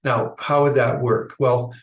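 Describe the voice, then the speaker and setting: A man speaks at a medium pitch, giving a Buddhist teaching in an unhurried, searching way and often restarting his sentences. His voice rings around a noticeably echoey room. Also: little pitch movement. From Idaho, United States. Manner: thoughtful, halting, with frequent restarts and fillers. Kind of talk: unscripted dharma talk.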